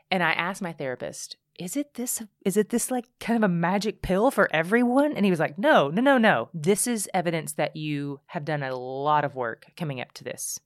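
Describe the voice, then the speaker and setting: A woman speaking candidly as a podcast guest, telling her story in animated bursts with frequent restarts. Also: low-pitched, no room sound, studio quality. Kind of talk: casual conversation.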